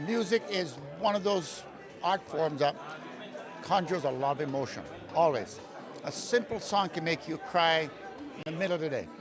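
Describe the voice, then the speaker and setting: A man's voice: on the high side, expressive, normal speed. A man speaking enthusiastically and a little rambling in a casual interview as a festival organizer. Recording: crowd noise behind.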